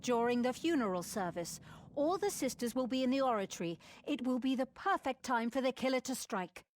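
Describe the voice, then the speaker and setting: A woman's voice: high voice, lively intonation, fairly quick, dry recording. A woman playing a stern, resolute abbess with an authoritative, formal manner in a fantasy video game. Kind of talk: scripted video game dialogue.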